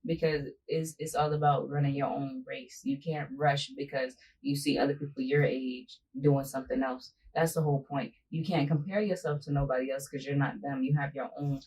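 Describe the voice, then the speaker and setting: A woman's voice: a bass voice, fairly even intonation, moderate pace, few pauses, a little mellow. A young woman speaking as a daughter in a casual family chat, giving emphatic, motivational advice. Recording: distant mic with faint noise.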